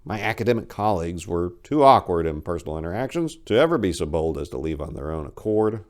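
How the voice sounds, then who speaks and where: A man giving a theatrical, measured dramatic reading of a literary short story as its narrator. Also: low-pitched, big pitch swings, a little mellow.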